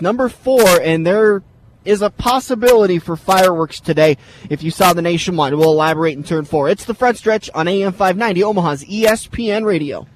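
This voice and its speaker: A man's voice: sits high, noisy background. A man hosting a sports radio show, chatting casually and jokingly, rambling between interviews and sponsor reads.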